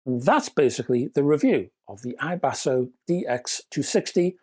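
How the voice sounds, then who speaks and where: A man presenting a scripted product review, speaking in measured, deliberate phrases with chatty, whimsical turns. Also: medium pitch, animated, darkish tone, slightly rough. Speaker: reviewer and presenter of an audio gear channel.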